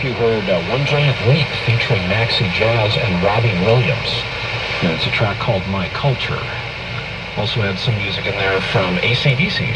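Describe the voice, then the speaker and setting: American man on very noisy shortwave radio. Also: fairly low pitch. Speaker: pirate radio DJ and host. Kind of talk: casual late-night radio host chat. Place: shortwave broadcast played on an old radio console. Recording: very noisy shortwave broadcast through antique radio. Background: heavy static and radio hiss.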